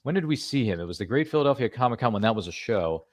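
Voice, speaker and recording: A man's voice: mid-range voice, lively intonation, rapid-fire, no echo, clean studio sound. A man hosting a podcast interview, chatting casually and rambling with playful asides. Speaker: podcast host.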